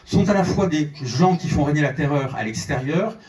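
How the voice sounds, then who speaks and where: A man's voice: on the high side, lively intonation, moderate pace, darkish tone. A French-Iranian man, a journalist, giving a fervent speech at a conference in a large theatre, repeating words when he pushes a point. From France and Iran, French-Iranian. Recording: echoey hall, voice from a distance.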